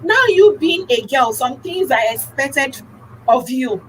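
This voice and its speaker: A young woman with a Nigerian accent speaks casually as a talk show guest. Her voice sits a little high, and she often circles back to repeat a phrase for emphasis. There is faint noise behind her. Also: expressive, unhurried, low-quality recording.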